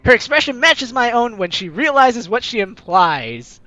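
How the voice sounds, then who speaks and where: A woman's voice: on the high side, very animated, normal speed, pushed and bright, no echo, muffled recording, faint music behind. A young woman reading a visual novel aloud as a gaming host, with theatrical, teasing asides. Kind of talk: animated let's-play read-aloud and commentary.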